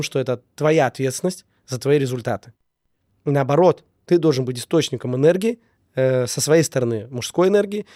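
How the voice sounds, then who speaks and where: A man's voice: mid-range voice, mellow, dry recording, clean studio sound. A man with a slight cold speaking casually and reflectively as an interview guest, emphatic, repeating phrases in lists. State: getting over a cold. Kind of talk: casual reflective talk on relationships.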